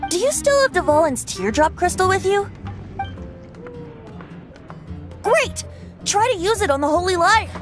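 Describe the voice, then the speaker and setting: A woman's voice: very high voice, very animated, moderate pace, over music. A woman voicing a playful, whimsical young bard boy in a video game, with a lilting theatrical delivery.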